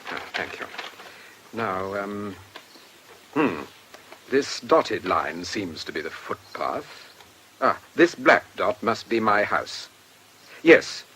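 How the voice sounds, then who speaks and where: Noticeable background ambience surrounds a reserved English countryman in a radio drama. His lowish voice is unhurried and hesitant, polite on the surface but guarded. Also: big pitch swings, bright, forceful tone, slight background noise, full character voice. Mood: guarded, helpful. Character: polite, guarded country householder, privately suspicious man. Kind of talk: British radio drama acting.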